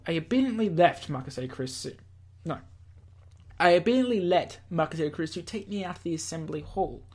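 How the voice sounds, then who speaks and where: Husky-voiced man hosting a game read-through. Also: a little high, expressive, fairly quick. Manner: theatrical read-aloud mixed with offhand commentary. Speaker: let's play host reading a visual novel aloud. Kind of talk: game narration with casual asides.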